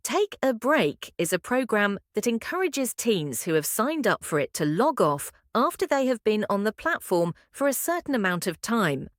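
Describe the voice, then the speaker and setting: A woman reading practice passages aloud as a test-prep narrator, in a measured, clearly enunciated scripted style. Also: mid-range voice, big pitch swings, dry recording, clean studio sound.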